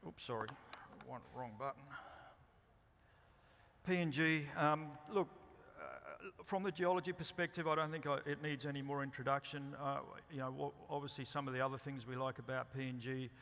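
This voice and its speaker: An Australian man giving a company presentation at a conference, speaking haltingly with many ums and restarts. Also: mid-range voice, vocal fry, a bit bright. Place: conference hall. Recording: reverberant hall.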